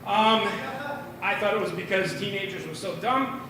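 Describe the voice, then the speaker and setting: A middle-aged American man doing a rambling, halting stand-up comedy set at an open mic in a bar. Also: high-pitched, fairly even intonation. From Minnesota, United States. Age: 40s or older. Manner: rambling, halting delivery with tangents. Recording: very echoey, distant room recording, clear noise.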